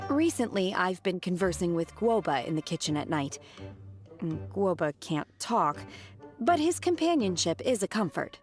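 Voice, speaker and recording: A woman voicing a reserved, blunt young woman who speaks calmly and literally. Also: lowish voice, unhurried. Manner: calm, flat and literal. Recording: game audio with soft music.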